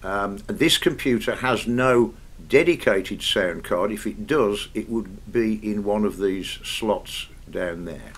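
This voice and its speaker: An older man giving an informal technical explanation in an unhurried, hesitant, modest way. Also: lowish voice, a little hiss or hum. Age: 60s or older. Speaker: presenter of a vintage audio technical video. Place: small home study with desk and bookshelves.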